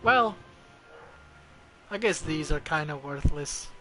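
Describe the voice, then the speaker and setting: A man's voice: a little high, lively intonation, takes it slowly, a bit bright. A man giving casual gameplay commentary as a streamer, blunt and foul-mouthed, often muttering to himself. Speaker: game streamer. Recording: clearly noisy background.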